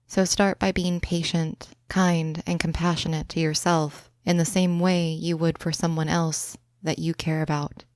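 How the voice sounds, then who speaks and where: A woman narrating a scripted guided imagery meditation, slow, soft and soothing. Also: low-pitched, flat delivery, no room sound.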